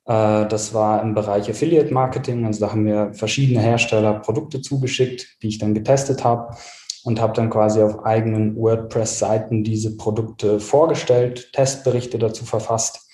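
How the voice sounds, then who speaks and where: Low, flat-voiced man. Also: normal speed, echoey room. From South Tyrol, Italy. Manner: steady, many fillers. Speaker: podcast guest, agency founder. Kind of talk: interview answers.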